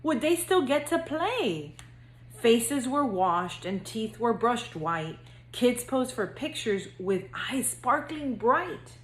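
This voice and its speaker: A woman school counselor speaking to young children in a gentle, singsong read-aloud storytelling manner. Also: fairly high pitch, sing-song, slow pace, roomy sound, rough recording.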